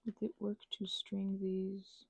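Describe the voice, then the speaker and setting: A woman rambling casually as she thinks aloud over a craft, halting and murmuring to herself, with playful asides to listeners. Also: medium pitch, monotone, breathy edge, no room sound, poor audio. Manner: halting, drifts into murmurs and self-talk. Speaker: ASMR and audio creator.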